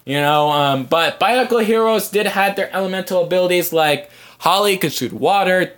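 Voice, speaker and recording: A man hosting a casual fan podcast, answering viewer comments in a halting, rambling way as he thinks aloud. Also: high-pitched, a bit bright. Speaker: podcast host.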